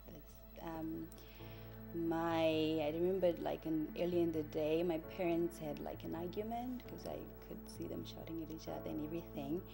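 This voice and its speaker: A woman's voice: low-pitched, fairly even intonation, moderate pace, creaky. A woman in her early thirties with a Zimbabwean accent, a native Shona speaker, giving a hesitant, reflective personal testimony. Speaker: church member telling her life story. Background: music.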